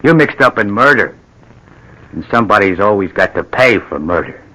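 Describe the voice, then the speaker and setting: Low hard-edged crook, bullying slangy tough guy, menacing, old radio crime show. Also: expressive, normal speed, pushed and bright, low-quality recording. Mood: smug, menacing. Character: ruthless, menacing male burglar and killer. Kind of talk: old-time radio crime drama acting. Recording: vintage radio drama recording.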